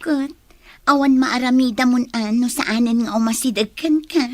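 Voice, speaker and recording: A woman's voice: a little high, normal speed, no room sound, lightly acted. An actress playing a frank, questioning young female friend with a Filipino accent in a radio drama. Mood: blunt. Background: soft music.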